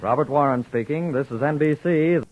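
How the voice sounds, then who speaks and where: A man's voice: a little high, fairly quick, narrow, tinny audio. A man making an inviting announcement about next week's radio program. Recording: old broadcast over music.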